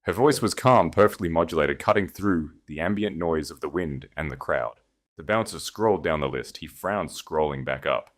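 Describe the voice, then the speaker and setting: A man's voice: rumbling low, animated, normal speed. A man reading scripted fiction as an audiobook narrator, in a dramatic storytelling style.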